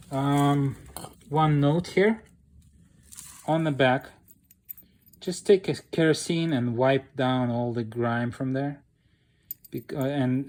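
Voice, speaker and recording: A man's voice: medium pitch, darkish tone. A man explaining a repair job informally as an instructor, checking in with the listener as he goes. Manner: explanatory, checks in with "okay" and "right". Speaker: DIY motorcycle repair instructor. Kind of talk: informal hands-on tutorial narration.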